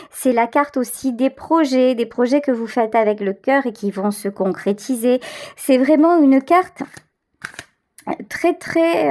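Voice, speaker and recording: A woman with a fairly high voice reads tarot cards for the listener. She speaks very slowly in a conversational way, leaning on key words and repeating them for emphasis. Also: darkish tone. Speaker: tarot reader, channel host. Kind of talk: intuitive tarot reading addressed to the listener.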